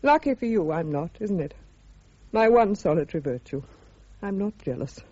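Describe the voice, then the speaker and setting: British radio drama: bitter, pragmatic officer's wife, brittle delivery, very expressive intonation, dull lo-fi sound. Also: female voice, mid-range voice, moderate pace, breathy edge. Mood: bitter, exasperated. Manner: brittle, sardonic, resigned.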